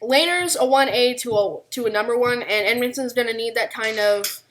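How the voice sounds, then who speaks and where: A woman's voice: fairly high pitch, brisk, fairly bright tone. A woman co-hosting a podcast, talking through unscripted sports analysis. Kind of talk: unscripted hockey analysis discussion.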